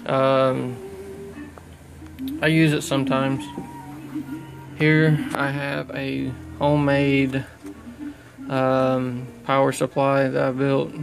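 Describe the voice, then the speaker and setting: A man walks through his equipment in a casual, unscripted way. His delivery is slow and halting, with a very flat pitch. There is a gravelly creak in his plain-spoken voice. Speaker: radio hobbyist showing his equipment. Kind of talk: casual unscripted gear review. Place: small indoor room at home.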